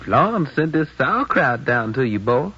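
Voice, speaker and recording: A man's voice: medium pitch, big pitch swings, normal speed, bright, forceful tone, slightly rough, heavily acted. A man playing a loyal, plain-spoken and protective friend in a 1950s radio drama, with an American accent. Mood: resigned, caring. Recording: muffled 1950s radio recording.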